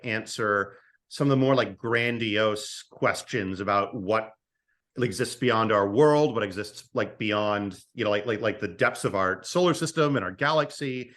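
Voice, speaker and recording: A man chatting casually as a writer guest in an interview, halting and thoughtful. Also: lowish voice, fairly bright tone.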